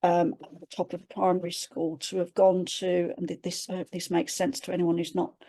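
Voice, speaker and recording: Gravelly, hesitant woman. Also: fairly low pitch, little pitch movement, normal speed, mellow. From England. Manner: hesitant, searching for words with many restarts. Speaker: webinar participant. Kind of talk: reflective sharing on a video call. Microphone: computer mic on a video call.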